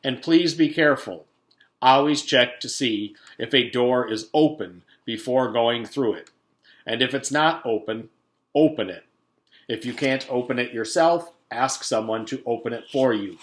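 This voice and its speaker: A pompous school principal from a children's storybook, acted out by a man reading aloud. He declaims with heavy emphasis, louder and a little higher, full of bluster and self-importance. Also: unhurried, natural voice. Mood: self-important.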